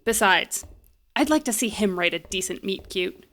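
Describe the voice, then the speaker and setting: An actress playing a brash, quick-witted woman in an audio drama, sarcastic and bossy. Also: mid-range voice, expressive, fairly bright tone. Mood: breezy. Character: brash, quick-witted woman, bluffing repairperson and romance writer.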